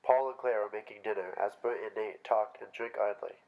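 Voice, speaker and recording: A man's voice: fairly low pitch, fairly even intonation, a little fast, highs cut off. A man reading stage directions aloud as scripted narration at a staged play reading.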